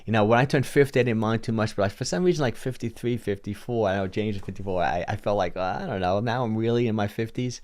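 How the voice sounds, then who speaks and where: Deep-voiced man rambling on a livestream. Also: quick pace, hardly any pauses, darkish tone. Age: 50s. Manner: rambling, repeats words and restarts often. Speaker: fitness livestream host. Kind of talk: casual livestream Q&A. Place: home studio with purple lighting. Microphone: studio mic on boom arm.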